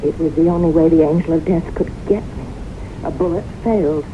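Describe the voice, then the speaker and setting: An actress playing a haunted, guilt-ridden woman with slow, deliberate, dramatic delivery in a 1970s radio drama. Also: close to an everyday voice. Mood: wistful, resigned. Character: haunted guilt-ridden woman recalling her doomed youth. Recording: noisy, rumbling, muffled 1970s radio recording.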